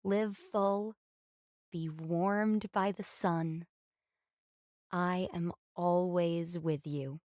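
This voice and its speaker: A woman playing a warm, caring big sister and storyteller, gentle and expressive, in a family audio drama. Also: on the low side, very slow, long pauses, dry recording, clean studio sound. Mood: tender.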